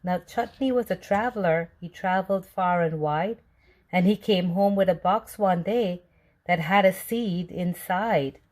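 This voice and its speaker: A woman reading a children's storybook aloud with deliberate, expressive phrasing. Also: lowish voice. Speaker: storybook reader.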